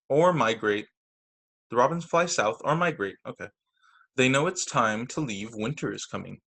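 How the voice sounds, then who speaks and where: Guy teacher doing kids story time, super animated up and down voice, reads aloud n adds little comments. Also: mid-range voice. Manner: expressive, acts out quoted lines, comments on the pictures. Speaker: teacher reading stories to children. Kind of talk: read-aloud picture book storytelling with casual asides. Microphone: video call.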